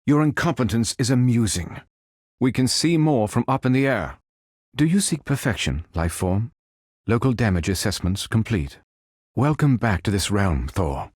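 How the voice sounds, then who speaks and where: A male actor voicing a calm, stately android superhero in a video game, speaking in formal, measured, precise phrases. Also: on the low side, husky, dry recording, studio quality. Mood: stern, defiant, then courteous, formal. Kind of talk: scripted game voice lines.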